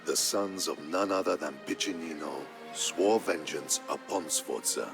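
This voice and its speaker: A man narrating a historical tale in a scripted, dramatic style, solemn and measured. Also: deep voice, vocal fry. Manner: solemn, measured storytelling delivery. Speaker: narrator of a strategy game campaign story. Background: background music.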